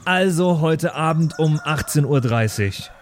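Higher-voiced man, halting and earnest. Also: expressive, slight background noise. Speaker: player in a pen and paper audio show. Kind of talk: improvised in-character role play.

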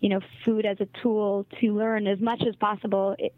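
Canadian woman guest, articulate and measured, dry tinny phone line. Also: mid-range voice, level tone, no room sound. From Montreal, Canada. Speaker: guest, travel writer. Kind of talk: podcast interview.

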